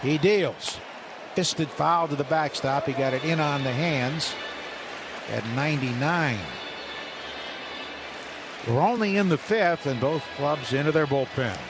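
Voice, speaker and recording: A man calling live baseball play-by-play on the radio, building up on big plays and reading stats between pitches. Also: a little slow, long pauses. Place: stadium broadcast booth. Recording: radio broadcast with loud crowd noise.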